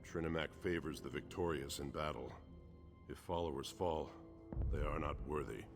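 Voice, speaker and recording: An actor voicing a stern, blunt orc trial master who gives commanding, menacing orders in a fantasy game. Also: male voice, extremely low pitch, steady tone, normal speed, creaky, music in the background. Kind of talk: scripted fantasy game acting.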